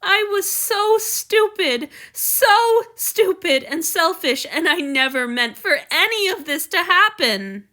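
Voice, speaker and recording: A woman doing a weepy young man whose voice jumps high and loud through tears. he is impulsive and keeps blaming himself, in a bright, sharp storybook read. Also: big pitch swings, slow pace, hardly any pauses, heavily acted. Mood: tearful, frantic. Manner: sobbing, high-pitched outbursts. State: crying.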